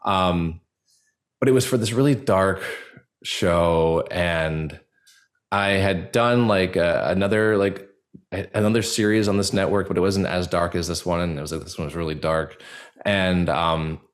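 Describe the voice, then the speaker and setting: A man with a fairly low voice talks as a podcast guest in an informal, halting style. He circles through his thoughts conversationally, leaning often on 'like' as he goes. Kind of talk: casual personal interview talk.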